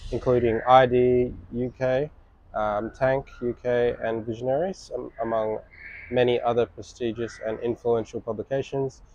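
Young male interviewer; warm low tone, dry and slightly muffled recording, steady read with filler words. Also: dry recording. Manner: reads intro with fillers, laughs lightly. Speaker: interviewer host. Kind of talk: reading guest introduction, then interviewing. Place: outdoor balcony terrace.

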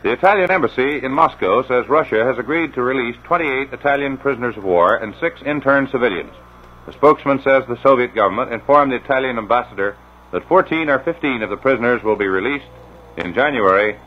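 A man reading the news and weather in a measured, formal 1950s radio style. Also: mid-range voice, lively intonation, breathy edge. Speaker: newscaster. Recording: dull old radio transcription.

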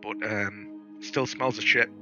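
A man's voice: on the low side, steady tone, slow pace, lo-fi sound. A man telling informal, sweary war stories over game voice chat in a dramatic, theatrical way. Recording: game voice chat, music behind.

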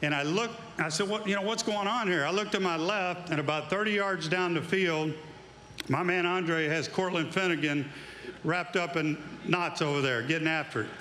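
A man's voice: fairly high pitch, normal speed, bright, forceful tone, roomy sound, a little hiss or hum. A middle-aged man, a former coach, giving an induction speech at an outdoor awards event, telling folksy stories into a handheld mic. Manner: folksy storytelling, building to emphatic tribute.

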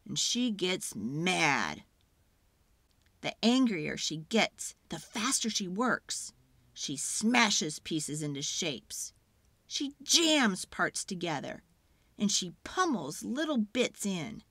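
Animated woman reading a storybook aloud. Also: lowish voice, no echo. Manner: expressive, deliberate, acts out sounds and feelings. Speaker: children's book read-aloud narrator. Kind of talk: dramatic storybook narration.

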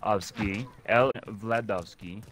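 A man doing a bragging mock-Russian gamer who speaks of himself in the third person in broken English. The voice sits lowish, and the chat has gunfire and game noise clearly behind it. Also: slow, fairly bright tone. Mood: boastful. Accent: put-on Russian.

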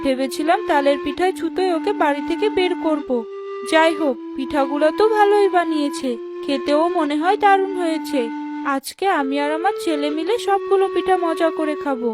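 A woman playing a cruel, scheming stepmother in a children's cartoon, with a sharp, domineering manner. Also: sits high, brisk, over loud music, lightly acted. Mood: smug, spiteful.

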